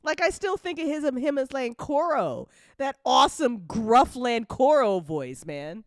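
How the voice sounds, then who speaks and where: Animated, clear-voiced woman chatting casually. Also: high voice, unhurried, dry recording, clean studio sound. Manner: animated, sweary, slangy. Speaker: audio drama creator and host of after-show. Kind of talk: casual chat.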